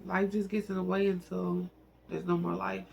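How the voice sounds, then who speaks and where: Man, high-pitched voice, gravelly fry, emotional amateur book narration, noticeable background noise. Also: level tone, moderate pace, a little mellow, muffled recording. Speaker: amateur book reader and channel host. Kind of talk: reading a novel aloud, with informal asides.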